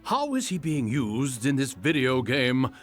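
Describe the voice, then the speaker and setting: A man's voice: medium pitch, very animated, unhurried, faint music behind. An actor playing a vain, haughty superhero king of the sea who talks pompously and formally in a comedic game scene. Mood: haughty disbelief. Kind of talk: comedic game cutscene acting.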